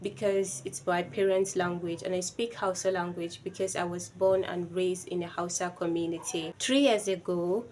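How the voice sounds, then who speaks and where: A woman's voice: on the low side, steady tone, normal speed, hardly any pauses, a little mellow, slight background noise. A woman from Nigeria with a Nigerian accent whose first language is Yoruba. She talks to camera in an earnest, reflective way. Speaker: vlogger. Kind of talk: personal video talk to camera.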